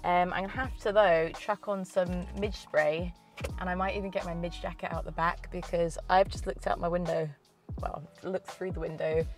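A woman's voice: on the low side, echoey room. Congested woman vlogging with a cold. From Scotland. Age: 30s. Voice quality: slightly nasal, stuffy. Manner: chatty, laughs often. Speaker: travel vlogger. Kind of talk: casual vlog to camera. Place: small wooden cabin, then inside a car. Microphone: clip-on lapel mic.

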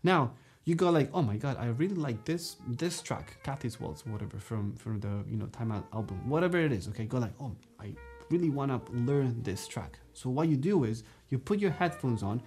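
A man in his thirties teaching saxophone straight to camera in a dark studio, animated and gesturing, checking in with "okay?" and "you know" between his points. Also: medium pitch, moderate pace, soft background music. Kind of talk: direct-to-camera music lesson. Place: dark studio room, indoors.

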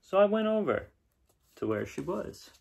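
A man's voice: sits high, very animated, moderate pace, vocal fry, darkish tone, slight background noise. A man in his thirties talking casually to camera as he presents and reads a storybook. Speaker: storybook reader. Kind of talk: casual read-aloud to camera. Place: small room at home, indoors.

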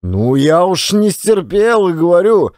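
Male actor as garrulous folksy storyteller, self-deprecating, rambling, slightly high voice, audio play. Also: very animated, a little slow, darkish tone, no echo, studio quality. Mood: animated recounting. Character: garrulous folksy old-time Russian storyteller, short man, self-deprecating.

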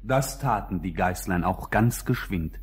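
Husky man narrating a fairy tale. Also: low voice, a little slow. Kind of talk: storybook narration in a children's radio play.

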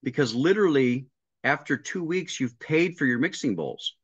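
A man's voice: medium pitch, animated, moderate pace, slightly rough, dry recording. A middle-aged man on a video call, telling work stories step by step and acting out the dialogue. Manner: explains step by step, acting out dialogue, frequent restarts. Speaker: restaurant consultant guest. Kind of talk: casual interview storytelling. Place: home room with a painting behind him. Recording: dry, muffled video call audio.

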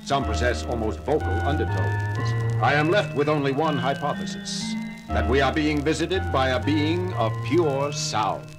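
An actor playing a calm, logical male science officer, speaking in a formal, precise, deadpan way in a 1970s audio drama with loud music underneath. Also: medium pitch, unhurried, close to an everyday voice, low-quality recording. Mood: measured.

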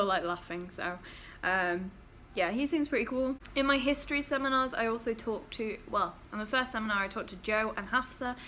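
Young english woman rambling to her laptop cam, giggly, lots of ums, mid pitch. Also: bright, forceful tone, a little hiss or hum. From England. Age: young adult. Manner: chatty and giggly, trailing off into fillers. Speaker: vlogger. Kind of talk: casual chat to camera. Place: small bedroom, indoors. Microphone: laptop webcam at desk.

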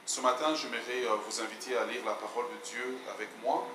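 A man in his forties with a mixed Canadian and Belgian French accent, a guest pastor opening his sermon in a measured, hesitant way on a handheld mic. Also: medium pitch. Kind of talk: sermon introduction. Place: large church hall, indoors. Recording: echoey church hall, noisy.

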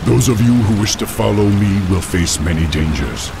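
A man playing a resolute, commanding heroic orc war chief in a video game, with a gravelly voice. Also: on the low side, expressive, normal speed, background noise. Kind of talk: video game hero acting. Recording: rain and game ambience behind.

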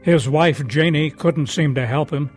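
Higher-voiced male radio announcer over music. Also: a little slow. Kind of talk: scripted radio drama promo narration.

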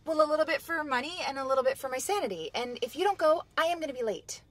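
An actress playing a harried, loving middle-aged mother, brisk and exasperated but affectionate, in a naturalistic screen drama. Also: sits high, lively intonation, a bit bright. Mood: harried, patient. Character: harried, loving mother heading back to work.

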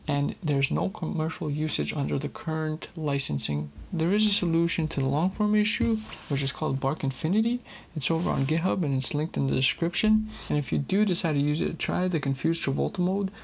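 Gravelly, husky male tech host. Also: a little high. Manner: chatty, candid about frustrations. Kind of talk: casual tech explainer.

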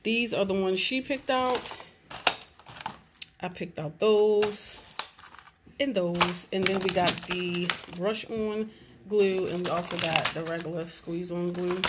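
A woman's voice: lowish voice, fairly even intonation, slow pace, heavy background noise. A woman in her thirties filming a casual grocery haul on her phone, chatting as she lists each item. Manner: chatty, lists items as she shows them. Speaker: vlogger mom. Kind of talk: casual shopping vlog and haul. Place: in a store, then at home. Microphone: handheld phone camera. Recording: loud crinkling of bags. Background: crinkling packaging.

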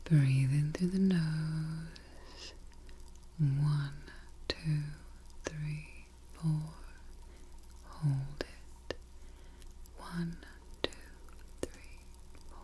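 A woman leading an ASMR guided meditation in a soft, breathy, mostly whispered voice, gentle and soothing, with short phrases and pauses, close to the microphone. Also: low voice, fairly even intonation, slight background noise. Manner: soothing, gentle, unhurried, pausing often between short phrases. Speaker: ASMR host guiding meditation.

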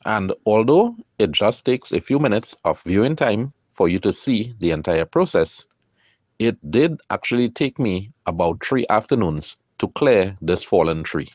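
A middle-aged man narrates in a calm, measured, explanatory way. His low voice rises and falls a good deal from phrase to phrase, and the recording is close and dry with no room sound. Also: dry recording. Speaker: kayaker and channel host. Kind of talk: scripted voice-over narration.

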